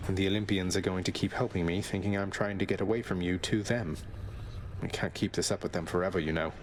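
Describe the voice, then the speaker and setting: An actor playing a young prince of the underworld in a video game, wry, casual and courteous. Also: male voice, low-pitched, fairly even intonation, quick pace, a little hiss or hum, lightly acted. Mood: thoughtful, easygoing.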